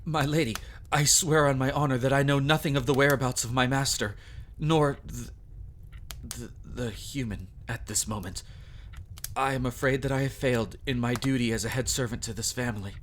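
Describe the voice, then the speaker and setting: A man's voice: mid-range voice, fairly even intonation, normal speed, fairly bright tone, a little hiss or hum, natural voice. A man playing a dutiful head servant to a vampire family in an audio drama, speaking formally, humbly and earnestly. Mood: grave, contrite. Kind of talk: audio roleplay drama acting.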